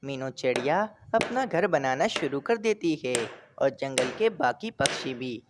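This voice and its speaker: A woman's voice: rumbling low, animated, measured pace, noisy background. A woman reading scripted narration for a children's story as its narrator.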